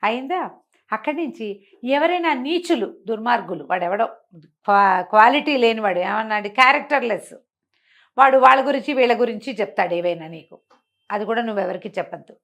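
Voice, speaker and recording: A woman's voice: fairly high pitch, lively intonation, brisk, a bit bright. A woman giving a conversational moral talk, teaching emphatically and often posing rhetorical questions. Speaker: storyteller explaining moral teachings.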